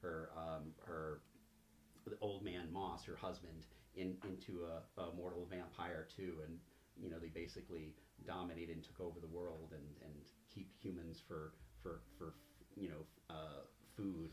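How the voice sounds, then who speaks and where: Deep-voiced man narrating haltingly. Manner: halting, drawn-out storytelling with pauses. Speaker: game master. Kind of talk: improvised narration of a tabletop game. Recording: faint background noise.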